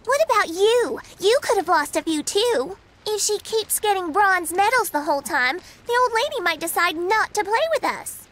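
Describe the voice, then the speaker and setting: Little girl, cheeky, outspoken, very high-pitched, anime-style video game dub. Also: expressive, moderate pace, hardly any pauses, fairly bright tone.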